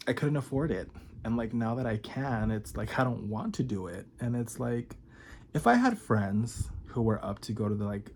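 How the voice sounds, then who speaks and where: A man's voice: medium pitch, a little mellow, a little hiss or hum. A man in his thirties talking candidly to camera in a rambling, filler-heavy confessional vlog. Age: 30s. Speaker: vlogger.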